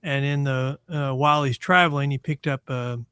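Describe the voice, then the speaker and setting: A man's voice: mid-range voice, normal speed, bright, forceful tone, smooth, no echo, clean studio sound. A man in his sixties from Texas, a guest attorney talking casually and with self-deprecating humor in an interview. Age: 60s.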